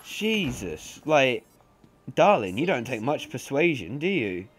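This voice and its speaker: A man's voice: mid-range voice, very animated, slow pace, a little hiss or hum. A young man with a stuffy nose giving sarcastic, sweary, chatty commentary over a video game. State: congested. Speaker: let's play commentator. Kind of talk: casual gameplay commentary.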